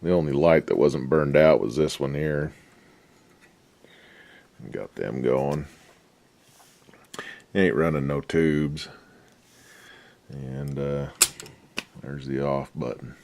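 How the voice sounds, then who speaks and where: A man giving a casual, unscripted workbench demonstration in a laid-back, folksy, halting way, often trailing off. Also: rumbling low, mellow. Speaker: radio restoration hobbyist presenting a repair update.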